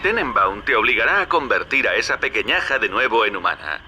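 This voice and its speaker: A man's voice: mid-range voice, sharp tone, a little hiss or hum. A man with a Castilian Spanish accent playing a wily, folksy hustler in a video game, talking in a chummy, colloquial, paternal way. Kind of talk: video game character acting.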